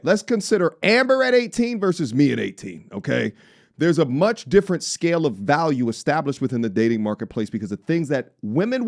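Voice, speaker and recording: A man's voice: mid-range voice, dry recording, clean studio sound. A man in his forties giving opinionated reaction commentary in an emphatic, preachy manner, often posing rhetorical questions. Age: 40s.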